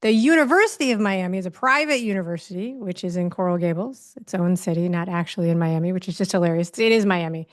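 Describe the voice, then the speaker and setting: A woman's voice: lowish voice, fast, hardly any pauses. A woman hosting an interview in a conversational style, chatty and lightly amused, slipping in explanatory asides. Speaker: interview host.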